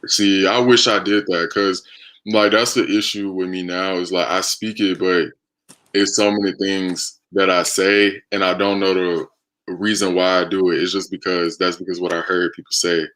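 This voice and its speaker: A man's voice: low voice, level tone, moderate pace, a bit bright, poor audio. An American man hosting a casual live stream, chatting conversationally as he takes viewer questions. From United States. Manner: conversational, reading and answering viewer comments.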